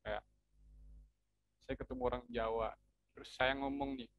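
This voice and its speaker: A man's voice: mid-range voice, fairly even intonation, moderate pace, creaky, dull audio. A young man from North Sumatra with a Medan-style Indonesian accent, chatting casually as an alumni speaker and explaining things with lots of fillers and restarts. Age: young adult. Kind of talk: casual informational talk.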